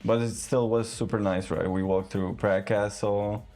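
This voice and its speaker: A man's voice: on the low side, steady tone, moderate pace, darkish tone. A young man, a wedding videographer hosting a casual podcast, who keeps checking in with "right?". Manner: chatty, often checks with a "right?".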